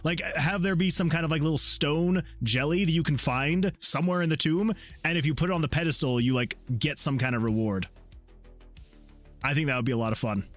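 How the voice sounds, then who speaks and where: A man hosting a game development livestream, chatting casually with viewers and rambling excitably as he thinks out loud. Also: on the high side. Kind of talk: casual chat with stream viewers while working. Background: background music.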